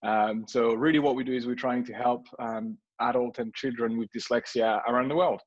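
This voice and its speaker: A man's voice: mid-range voice, steady tone, normal speed, dull audio. A man with a French accent, a non-native English speaker, talking as a guest on a video call, hesitant with many fillers and restarts. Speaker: guest entrepreneur. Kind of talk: casual video call interview.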